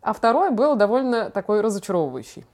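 Fast-talking young woman, slangy and casual. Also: medium pitch. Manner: slangy, expressive. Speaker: podcast host. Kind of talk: casual chat, personal storytelling.

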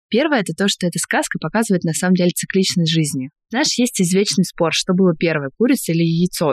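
A woman's voice: lowish voice, dry recording, studio quality. A woman co-hosting a podcast, chatting casually and explaining things in a talkative way. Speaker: podcast co-host and parent. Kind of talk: casual conversational podcast talk.

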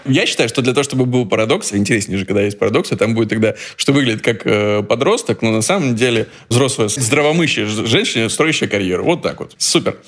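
A man co-hosting a podcast, relaxed and asking questions in a casual chat. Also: mid-range voice, fast talker, few pauses. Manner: relaxed, posing questions to the guest. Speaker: podcast co-host, screenwriter.

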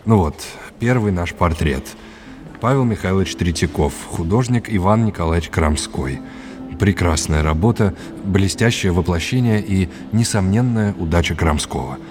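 Casting: self-assured art scholar, low voice, formal and instructive; radio play with clear music underneath. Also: male voice, animated. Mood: courteous, didactic. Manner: brisk, didactic, courteously formal. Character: confident, exacting male art expert and lecturer. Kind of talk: audio drama dialogue.

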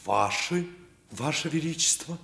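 An animated, servile royal courtier. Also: male voice, on the high side, unhurried, gravelly, full character voice. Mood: deferential. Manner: deferential, theatrical. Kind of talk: 1950s radio play acting.

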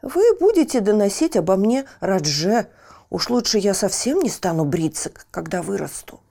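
A woman's voice: medium pitch, sing-song, normal speed, creaky, mellow. A woman voicing an honest, stubborn orphan boy in a children's fairy tale, plain-spoken and defiant. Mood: firm, defiant.

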